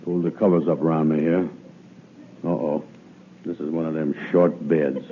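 An actor playing a slow, gullible, weary man in an old radio comedy, with a drawling, ungrammatical manner. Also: very deep voice, little pitch movement. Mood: weary, puzzled. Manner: drawling, ungrammatical, muttering to himself. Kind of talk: 1950s radio comedy acting. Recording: narrow phone-like old radio recording, loud noise.

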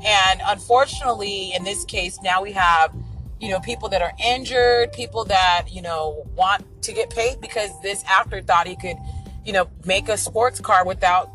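A woman with a raspy voice hosting an online radio show, giving frank, chatty opinions on the news. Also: mid-range voice, moderate pace, a bit bright, faint music behind. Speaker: internet radio host. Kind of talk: casual talk-radio commentary.

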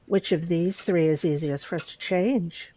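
An actress playing a calm, encouraging virtual therapist in an audio drama, with a gentle, measured, professional manner. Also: female voice, lowish voice, a little hiss or hum, natural voice. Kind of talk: horror audio drama acting.

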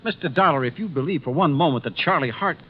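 A man's voice: on the high side, lively intonation, quick pace, lo-fi sound, close to an everyday voice. A man playing an indignant, defensive businessman, formal and emphatic, in a 1950s radio drama. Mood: defensive explaining. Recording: thin, noisy 1950s radio recording.

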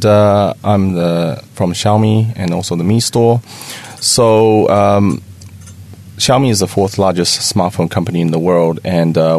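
A man speaking as a company spokesperson on a podcast, in loose, halting phrasing. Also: sits low, darkish tone. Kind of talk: casual interview talk.

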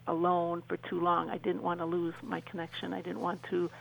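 Creaky-voiced woman, even-toned interview guest. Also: lowish voice, brisk, rough recording. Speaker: radio show guest. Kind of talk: conversational interview answers.

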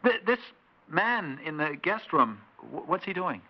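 An actor playing an ordinary, bluff and impatient husband in a 1960s radio drama. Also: male voice, on the high side, sing-song, a little slow, full character voice. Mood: baffled. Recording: dull 1960s radio recording.

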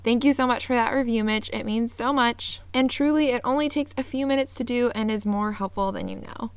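An upbeat woman hosts her podcast in a bright, fairly high voice. She sounds earnest and eager, and the sound is close and dry with no room around it. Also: brisk, smooth, no room sound. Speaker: podcast host. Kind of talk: scripted intro then casual interview.

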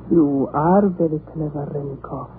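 A woman's voice: low voice, takes it slowly. An actress playing a composed, mocking, scheming woman, mistress to a tyrant, in an old radio horror drama. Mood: sly. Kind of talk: 1930s radio horror acting. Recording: scratchy tinny 1930s radio recording.

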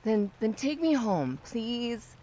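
An actress playing a muddled, tipsy, pushy car passenger who talks haltingly and repeats herself in an audio drama. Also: female voice, a little high, very animated, dark tone, a little hiss or hum. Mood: confused, pleading.

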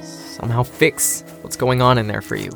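An actor playing a loyal, caring and determined survivor in a post-apocalyptic audio drama, speaking earnestly and supportively. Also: male voice, medium pitch, steady tone, normal speed, gravelly, music in the background, close to an everyday voice.

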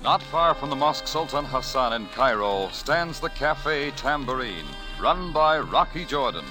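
A man's voice: medium pitch, sing-song, unhurried, over music, rough recording. A man announcing in formal, declamatory 1940s radio style, reading scripted dramatic narration.

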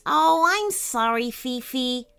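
A woman's voice: high voice, huge pitch swings, unhurried, sharp tone, faint music behind, voice pushed up, full character voice. A woman voicing a kind, spirited, determined young girl in a children's story. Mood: apologetic.

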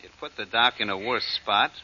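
Sharp-voiced, scheming killer in old radio drama. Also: male voice, lowish voice, fairly quick, breathy edge, heavily acted. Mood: smug, callous. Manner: glib, callous, offhand about murder. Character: cold, scheming male blackmailer turned killer, smug then menacing. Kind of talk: 1940s radio acting. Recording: muffled old radio recording with hiss.

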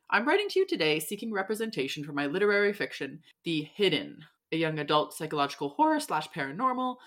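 A woman literary agent reading a letter aloud on a podcast in a measured, expressive way. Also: medium pitch.

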